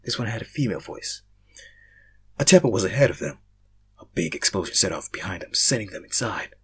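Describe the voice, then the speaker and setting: A young man reading fan fiction aloud, casual in his chat and theatrical in his narration, swinging between hushed and loud. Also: lowish voice, expressive, brisk, breathy edge. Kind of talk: casual intro and dramatic story narration.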